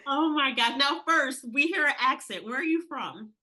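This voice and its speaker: Bright-voiced gushing woman host. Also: high-pitched, lively intonation, moderate pace. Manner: effusive, gushing with praise. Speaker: podcast host. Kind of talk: enthusiastic interview.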